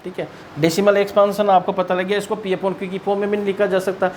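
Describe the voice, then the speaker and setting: A man's voice: high-pitched, little pitch movement, quick pace, a little mellow, slight background noise. An Indian man teaching maths in an explanatory lesson style, asking and answering his own questions and repeating key points.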